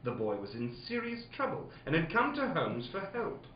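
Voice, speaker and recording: Genial elderly gentleman doctor , played by a man, theatrical radio drama storyteller, fussy and exasperated, highly animated, slightly high register, muffled room recording. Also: moderate pace, recorded from a distance, slight background noise. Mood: storytelling. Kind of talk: old-time radio drama acting. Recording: roomy, muffled studio, off the mic.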